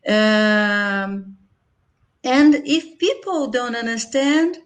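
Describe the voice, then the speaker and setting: A woman from Brazil speaks careful, non-native English with a Brazilian Portuguese accent. She talks very slowly, stopping often to hunt for the right word. Her voice sits a little high, and she comes through over a video call. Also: expressive. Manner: hesitant, searching for words, laughs often. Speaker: conference session participant. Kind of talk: casual video call chat.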